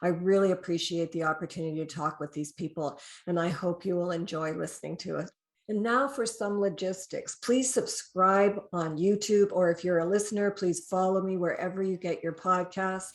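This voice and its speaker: A woman's voice: lowish voice, steady tone, normal speed. A woman with a Canadian accent, speaking as the host of a podcast interview.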